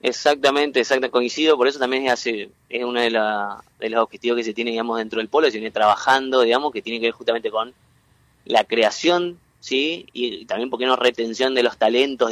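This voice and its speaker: A man from Entre Ríos in Argentina with a local accent, a guest explaining at length in an interview, halting and full of fillers and restarts. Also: mid-range voice, fairly bright tone, dry recording. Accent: Entre Ríos Argentine Spanish. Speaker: guest, tech cluster president.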